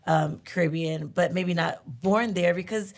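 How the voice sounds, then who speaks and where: A woman's voice: on the low side, a little slow, vocal fry. A woman co-hosting a talk show, sharing her views in casual discussion.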